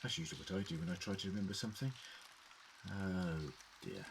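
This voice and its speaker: A man's voice: sits low, a little fast, a little hiss or hum. A man acting in an audio drama as an eccentric, inquisitive Doctor who muses precisely as he investigates. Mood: inquisitive, musing. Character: male time-travelling Doctor, curious, eccentric, quick-thinking investigator.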